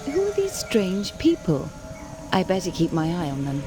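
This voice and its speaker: A woman's voice: on the low side, wildly swooping pitch, moderate pace. An actress playing a haughty, sinister, scheming witch in a video game, with theatrical menace. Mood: suspicious. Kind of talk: video game character acting. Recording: game music behind.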